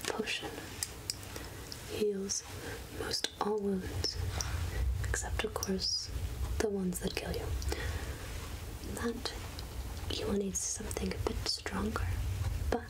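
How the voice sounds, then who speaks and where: A woman playing a secretive woodland witch who sells potions, whispering softly and intimately in an ASMR roleplay. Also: medium pitch, close to an everyday voice, low-quality recording. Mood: coaxing, reassuring. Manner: slow, deliberate, intimate, addressing the listener as darling. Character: Agatha, secretive woodland witch selling potions, coaxing and darkly kind.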